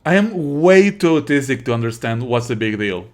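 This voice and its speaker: Male game streamer, mid-pitched, casual and blunt, swears freely in exasperation. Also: big pitch swings, moderate pace, darkish tone. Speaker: streamer playing and commenting on a visual novel. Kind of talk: casual live game commentary, partly reading dialogue aloud.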